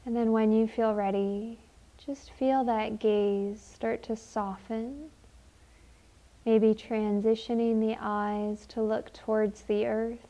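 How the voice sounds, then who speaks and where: A woman leading a guided meditation in a gentle, unhurried, soothing manner with long pauses. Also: on the high side, flat delivery.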